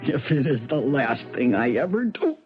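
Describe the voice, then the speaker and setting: A woman's voice: very deep voice, sing-song, normal speed. A woman playing a distraught, weary mother and actress, rambling and self-mocking in a theatrical 1970s radio drama style. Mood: fretful, tearful. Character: distraught weary actress mother recording her suicide tape. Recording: muffled old radio broadcast with music.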